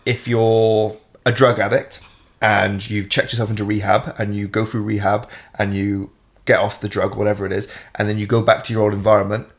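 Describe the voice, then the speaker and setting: Low-voiced young man, casual chat. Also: flat delivery, fairly quick. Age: 20s. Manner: rambling, swears casually, many fillers. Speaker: fitness coach and host.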